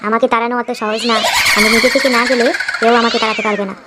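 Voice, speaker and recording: A woman's voice: fairly high pitch, level tone, racing, very noisy, close to an everyday voice. A woman voicing a protective, vengeful ghost mother who is tender with her daughter, in a dramatic cartoon style. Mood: tender, reassuring.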